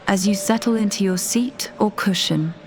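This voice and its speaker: A woman narrating a scripted guided meditation in a measured, deliberate, soothing manner. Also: mid-range voice, a little mellow, a faint crowd, a little hiss or hum. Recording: voice over background music. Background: music.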